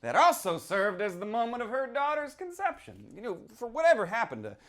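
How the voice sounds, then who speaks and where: A man performing a dramatic reading as a haunted, folksy small-town man who tells his tale in halting, rueful asides. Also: very high voice, sing-song, full character voice. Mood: wry, emphatic. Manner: folksy, halting, rhetorical asides, swings between hush and outburst. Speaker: author reading from his novel. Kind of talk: theatrical dramatic reading, first-person monologue.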